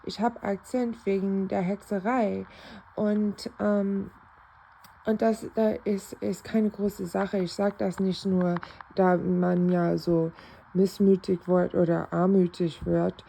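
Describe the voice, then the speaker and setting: A woman's voice: mid-range voice, flat delivery, slow pace, gravelly, warm tone, slight background noise. A woman rambling casually in a spiritual vlog, repeating herself and restarting often, speaking German with an American accent. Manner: switches between English and German. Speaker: self-described novice clairvoyant.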